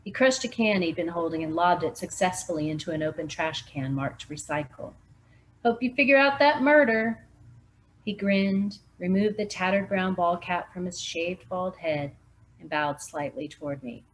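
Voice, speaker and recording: Woman, volunteer reader, lower voice, steady narration, faint background hum. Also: moderate pace, rough recording. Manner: steady first-person narration. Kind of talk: reading a mystery novel aloud.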